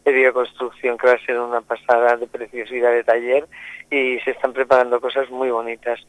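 Male interview guest, halting and repetitive delivery, dry close sound. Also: mid-range voice, monotone, dry recording, rough recording. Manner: hesitant, repeats words and restarts. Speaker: guest organizer of a rural gathering. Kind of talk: radio interview.

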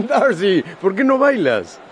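Animated, eager young gentleman. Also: high voice, takes it slowly, a little hiss or hum, exaggerated voice. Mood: cheerful, coaxing. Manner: animated, coaxing. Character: cheerful, eager young gentleman smitten at a ball. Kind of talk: radio drama acting.

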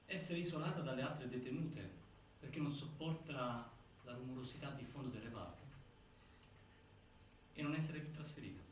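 An actor playing a sober prison interviewer, giving measured, formal testimony in a stage drama. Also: male voice, mid-range voice, natural voice. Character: sober man reporting a prisoner's requests. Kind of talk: theatrical testimony monologue. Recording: echoey room, far mic, faint noise.

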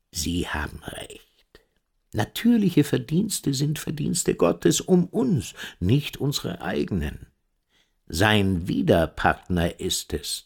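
An actor in a German radio drama playing a pious, dignified old school director, declaiming unctuously with a gravelly, husky voice and hushed drops. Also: male voice, medium pitch, full character voice. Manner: declamatory, unctuous, emphatic swells dropping to hushed breath. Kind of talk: German radio drama acting, sermon-like farewell speech.

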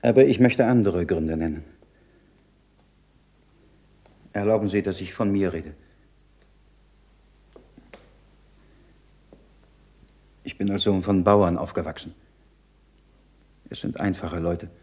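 An actor playing an earnest, troubled monk of peasant birth in a 1960s German radio play, speaking in a measured, formal way. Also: male voice, sits low, darkish tone. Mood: quiet, sorrowful. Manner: measured, solemn, formal, deliberate.

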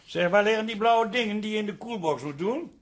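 An actor playing a grumbling, penny-pinching café owner in a radio comedy, husky, complaining and sarcastic. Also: male voice, high-pitched, brisk. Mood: indignant. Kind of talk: Dutch radio comedy drama acting.

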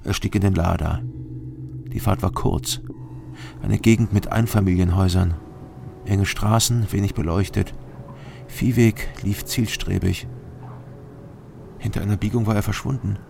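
An actor playing a weary, hard-drinking private detective who narrates a crime radio drama in terse, clipped sentences. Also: male voice, lowish voice, fairly even intonation, unhurried, gravelly, long pauses, background noise. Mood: brooding. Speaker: narrator and lead investigator.

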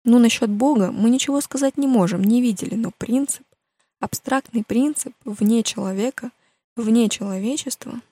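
A woman's voice: a little high, moderate pace, mellow, breathy edge, dry recording. A woman voicing a cheeky, argumentative teenage boy in an audiobook, bantering colloquially. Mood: arguing.

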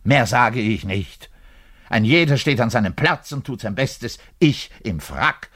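Neurotic frustrated bassist in a radio monologue, slow and dragging, voice swoops all over, obsessive and confiding. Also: male voice, expressive, no echo, studio quality. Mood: bitter self-mockery. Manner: volatile, swinging between muttered confession and loud outbursts. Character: neurotic, frustrated orchestral double bassist, self-loathing and obsessive. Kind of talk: dramatic monologue radio play, confiding to the listener.

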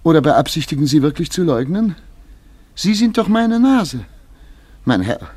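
An actor playing a nervous, self-important major in a German radio play, halting and politely indignant. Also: male voice, a little high, very animated, darkish tone, breathy edge, no room sound, full character voice. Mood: exasperated, indignant. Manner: hesitant, halting, stammering politeness.

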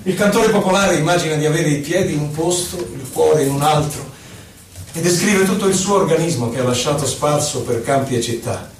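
A man acting an exiled poet-senator, heard from a distance in a roomy space. His voice sits fairly high for a man. He declaims poetry solemnly and indignantly, with deliberate dramatic pauses, as in a radio play. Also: slight background noise, lightly acted. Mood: reflective. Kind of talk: theatrical poetry recitation for radio. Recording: roomy echo, distant from microphone.

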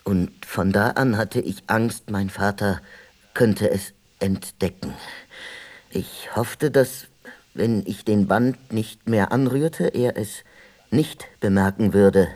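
An actor playing a reflective man who recalls his childhood in a halting, musing way in a radio play. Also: fairly low pitch, darkish tone. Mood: hushed, hesitant. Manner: halting, musing, self-correcting. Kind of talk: radio play monologue, reminiscing.

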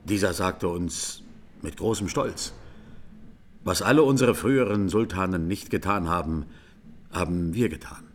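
A man's low voice a grave clergyman on the witness stand in a radio play. He recounts things solemnly and deliberately, with audible ambience behind him. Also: slight background noise. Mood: firm, bitter. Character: grave Armenian clergyman testifying as a court witness. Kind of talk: radio drama courtroom testimony.

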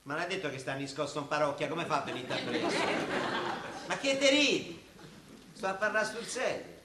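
A man's voice: fairly high pitch, sing-song, normal speed. An actor playing a blunt, suspicious, needling Roman working-class man in a naturalistic dialect radio drama. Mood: insistent, needling. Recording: roomy echoing sound, faint noise.

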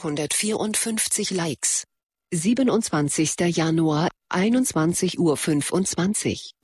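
An actor playing an impassive announcer in a radio play, reading out dates, times and tallies in a deadpan, list-like way. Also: male voice, sits high, a little slow, breathy edge, no room sound, clean studio sound, heavily acted.

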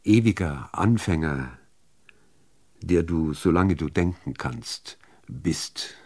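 A man reciting literary poetry, phrasing it in a measured, deliberate way with expressive emphasis. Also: extremely low pitch. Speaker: reader of poems.

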